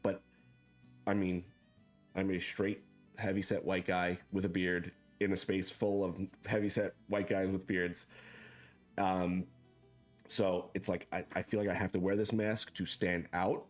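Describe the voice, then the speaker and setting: A man hosting a casual interview, loud, joking and self-deprecating as he talks. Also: deep voice, little pitch movement, unhurried, soft background music. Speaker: host.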